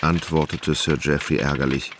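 A man narrating a literary radio drama in German, in a measured, solemn manner. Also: very deep voice, level tone, noisy background.

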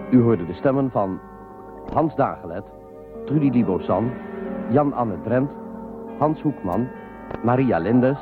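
A man's voice: mid-range voice, narrow, tinny audio. A man announcing the closing credits of a radio play in a scripted style. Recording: phone-thin radio audio over music.

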